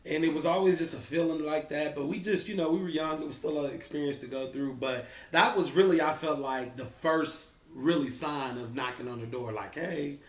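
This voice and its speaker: A man's voice: on the high side, moderate pace, hardly any pauses. Houston Texan man, vlog co-host, reflective and easygoing, a bit far from the mic in an echoey room. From Houston, Texas. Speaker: vlogger co-host. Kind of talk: casual chat.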